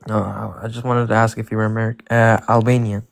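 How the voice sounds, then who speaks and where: A man's voice: lowish voice, level tone, fast talker, creaky, no echo. A man from Iran in a live chat who argues bluntly and defensively, often calling people bro. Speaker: caller. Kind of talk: casual argumentative chat.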